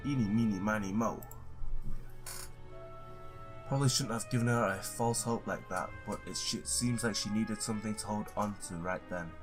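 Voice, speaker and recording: A man's voice: fairly low pitch, moderate pace, music in the background. A man doing a let's play, reading story text aloud with informal asides and stumbling now and then over the words. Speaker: gamer reading a visual novel aloud.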